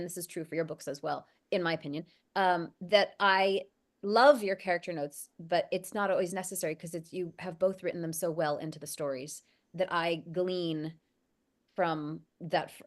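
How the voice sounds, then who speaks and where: A woman audiobook narrator speaking effusively in a casual video-call chat. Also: fairly low pitch. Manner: enthusiastic, effusive.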